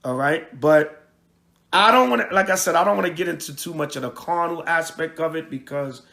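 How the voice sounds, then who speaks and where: A man giving an informal preaching address as a religious leader, speaking emphatically with repetition and halting restarts. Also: on the high side, vocal fry, sharp tone. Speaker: priest and religious group leader. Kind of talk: livestreamed address mixing preaching and informal talk.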